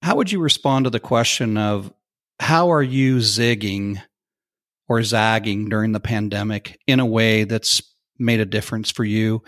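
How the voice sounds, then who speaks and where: A man hosting an interview, asking questions in a measured, appreciative way. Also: on the low side, fairly bright tone. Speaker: podcast interviewer.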